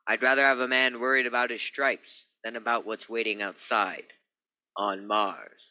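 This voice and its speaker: Bright, forceful commanding ship's captain, played by a man. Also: medium pitch, steady tone, moderate pace, no echo, low-quality recording. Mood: stern, grave. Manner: clipped, authoritative orders and solemn announcements. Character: stern, commanding male captain of a naval spaceship. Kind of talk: old-time radio drama acting.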